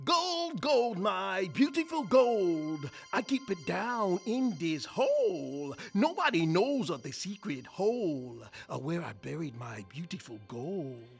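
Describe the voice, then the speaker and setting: Woman doing a stingy miser guy, croaky and super slow, melodramatic chanting, radio play. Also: lowish voice, big pitch swings, faint music behind, exaggerated voice. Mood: gloating adoration. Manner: drawn-out, chanting, melodramatic wail. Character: stingy, self-pitying miser man obsessed with his gold. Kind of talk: radio drama storybook acting.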